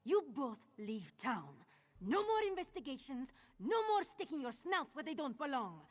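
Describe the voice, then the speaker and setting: Game villain: woman as bullying cat creature, commanding, husky delivery with swooping intonation. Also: sits high, big pitch swings, moderate pace. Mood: menacing. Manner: commanding, threatening. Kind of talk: roleplay game character voice.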